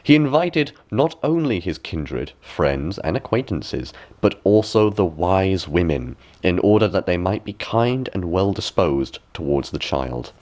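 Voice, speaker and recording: A man narrating a bedtime fairy tale as a storyteller, with expressive, measured and deliberate phrasing. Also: deep voice. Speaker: storyteller, audiobook reader.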